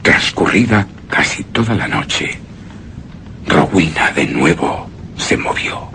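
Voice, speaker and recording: A tormented gothic widower in a suspense radio drama. His voice sits fairly low but carries a sharp, forceful edge. He speaks haltingly and theatrically, repeating words in dread, over faint background noise. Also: lively intonation, full character voice. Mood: grave dread. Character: haunted, terrified gothic widower narrating horrors in anguish. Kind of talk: Spanish-language horror radio drama monologue.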